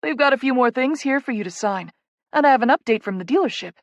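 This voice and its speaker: A female narrator plays an unflappable woman running an office in a novel. She sounds motherly and reassuring, with a businesslike friendliness and a slightly high pitch in a dry recording. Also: animated, fast, dry recording, lo-fi sound. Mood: friendly, businesslike.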